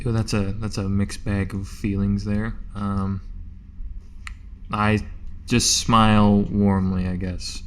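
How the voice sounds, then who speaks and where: A man's voice: lowish voice, level tone, slow, slight background noise. A man chatting casually as a player at a tabletop roleplaying game.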